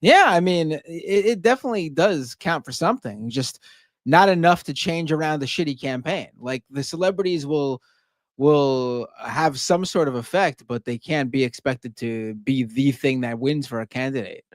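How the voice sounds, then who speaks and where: A man's voice: medium pitch, moderate pace, no room sound, clean studio sound. A man with a New York accent co-hosting a casual livestream, reading out donations in a laid-back, hesitant way with lots of fillers.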